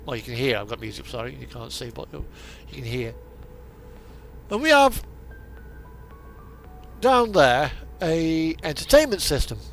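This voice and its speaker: A man's voice: fairly high pitch, lively intonation, unhurried, creaky, long pauses. A man giving casual, rambling gameplay commentary in a hesitant, meandering way over background music. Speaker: streamer hosting a truck simulator video. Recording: music playing behind the voice. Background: radio music, truck simulator game sound.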